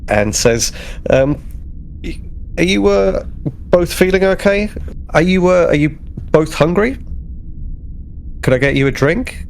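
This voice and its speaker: A man playing a tabletop roleplaying game, narrating and speaking in character in a hesitant, creaky voice. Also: sits high, animated, slight background noise. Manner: hesitant, halting.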